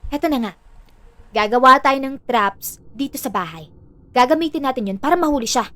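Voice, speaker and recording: A woman's voice: high voice, expressive, brisk, sharp tone, no echo. A woman playing a money-hungry, sarcastic and bossy younger sister in an audio drama. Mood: plotting.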